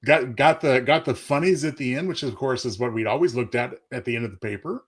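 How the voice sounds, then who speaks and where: A man with a mid-pitched voice co-hosts a live show. He chats casually, with wordy and courtly phrasing. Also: brisk, husky. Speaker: radio stream co-host.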